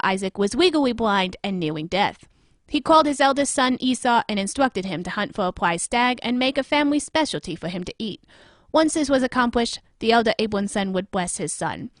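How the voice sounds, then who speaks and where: A woman playing an earnest, probing TV newsmagazine anchor in an audio drama, with measured, dramatic narration. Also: a little high, no echo, close to an everyday voice. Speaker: host.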